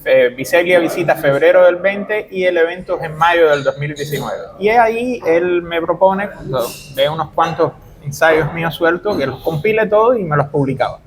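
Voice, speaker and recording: Cuban man, higher-pitched, crowd murmur behind. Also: expressive, normal speed, hardly any pauses, rough recording. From Cuba. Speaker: author being interviewed. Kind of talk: conversational, explanatory interview answers.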